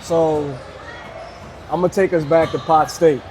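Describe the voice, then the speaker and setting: Relaxed male interviewer, noisy room. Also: on the high side, unhurried. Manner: relaxed, familiar with guest. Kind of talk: casual interview questions.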